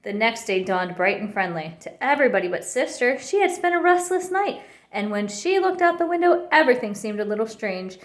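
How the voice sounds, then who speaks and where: A woman reading a children's picture book aloud with expressive narration. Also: on the high side, a little fast. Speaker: storybook reader.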